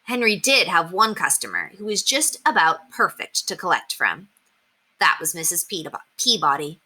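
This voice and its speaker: Bright, animated woman reading a story. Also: on the low side. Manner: clear, animated read-aloud delivery. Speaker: storyteller reading a children's book aloud. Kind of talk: expressive scripted narration with character voices.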